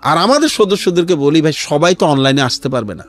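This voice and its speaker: Male radio guest, Bangladeshi accent, lightly high-pitched and very expressive, earnest explainer who drops in English. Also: a little fast. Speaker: interview guest, founder of a charity resale platform. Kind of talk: conversational storytelling in a radio interview. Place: radio studio.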